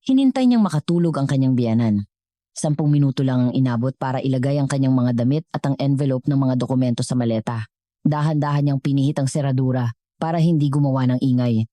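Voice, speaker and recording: A woman reading scripted dramatic story narration in a measured way, as the narrator of an audio drama. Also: a bass voice, dark tone, no room sound.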